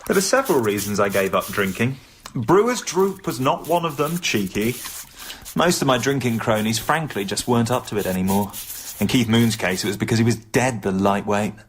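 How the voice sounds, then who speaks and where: A man's voice: medium pitch, lively intonation, normal speed, a little hiss or hum, natural voice. Radio comedy role: earnest English comic played by a man, droll, plaintive, very varied intonation. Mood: wry narration. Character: British comedian Graham Chapman, earnest, wry recovering drinker. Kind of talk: radio comedy drama acting and narration.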